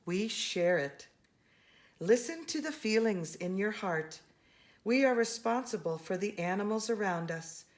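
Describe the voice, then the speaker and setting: A woman reads a children's picture book aloud. Her delivery is unhurried and highly animated in pitch, at a middling register, with deliberate, dramatic stress on important words. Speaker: storybook reader.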